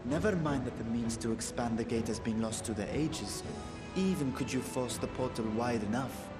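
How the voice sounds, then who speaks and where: An actor playing a grave, cautious sage in a video game, with solemn, formal and archaic diction. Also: male voice, mid-range voice, normal speed, music in the background, close to an everyday voice. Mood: stern, warning. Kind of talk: scripted video game dialogue.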